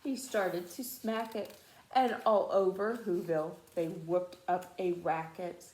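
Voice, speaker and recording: A woman teacher reading a children's storybook aloud to students in an expressive, dramatic way. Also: on the low side, measured pace, reverberant, rough recording.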